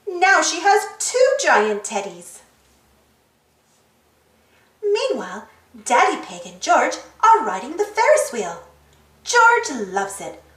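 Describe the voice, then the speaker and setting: A woman reading a children's storybook aloud as an expressive narrator and host. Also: high voice, roomy sound, distant mic. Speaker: storybook reader, channel host.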